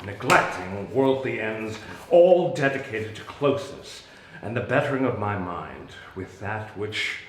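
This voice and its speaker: Man acting slow, dramatically swinging Shakespearean wronged duke. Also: mid-range voice, fairly bright tone. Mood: bitter, insistent. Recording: echoey room.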